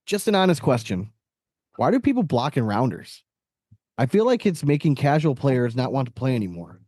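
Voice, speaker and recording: A man hosts a podcast in a casual, conversational way. His voice sits at a medium pitch and is recorded close and dry. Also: big pitch swings, moderate pace, dark tone, no room sound. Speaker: podcast host.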